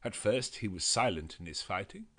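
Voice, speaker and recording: A man narrating a classic novel as an audiobook, in a measured and expressive reading. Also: fairly low pitch. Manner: measured, expressive reading with a lively, shifting delivery.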